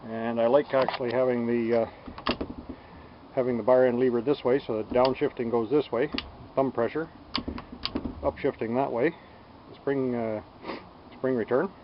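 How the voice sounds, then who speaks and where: Unhurried man, dull lo-fi recording. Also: medium pitch, steady tone. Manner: unhurried, halting, thinking aloud. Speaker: DIY bicycle tinkerer presenting a build. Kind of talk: casual unscripted workshop demonstration. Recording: dull lo-fi sound with background noise.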